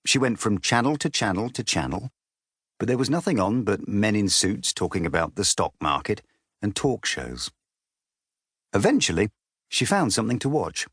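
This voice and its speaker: Animated, low-voiced male storybook narrator. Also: no echo, studio quality. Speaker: audiobook narrator.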